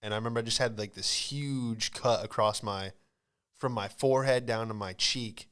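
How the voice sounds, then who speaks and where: A man's voice: lowish voice, steady tone, moderate pace, fairly bright tone, clean tone. A man in his late twenties with an American accent, a podcast host telling comic stories in a casual, slangy, rambling way. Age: late 20s. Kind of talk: comedic storytelling.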